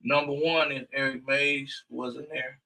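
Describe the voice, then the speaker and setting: A man co-hosting a casual community talk show, talking loudly and emphatically in long rambling runs. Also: medium pitch, flat delivery, unhurried, recorded from a distance, rough recording. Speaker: co-host.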